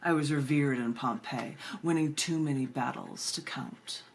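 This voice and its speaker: A woman with a very low-pitched voice reads as a poet would on a casual livestream. Her delivery is informal and conversational, open to asides. The amateur camera recording carries some faint background noise. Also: unhurried. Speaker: poet giving a reading. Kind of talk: conversational poetry reading on a livestream. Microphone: compact camera microphone.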